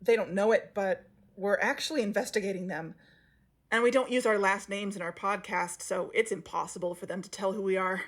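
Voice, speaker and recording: Actress as earnest woman podcast sleuth, mid-pitched. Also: fairly even intonation, brisk, close to an everyday voice. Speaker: podcast interviewer. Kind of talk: audio drama acting as interview.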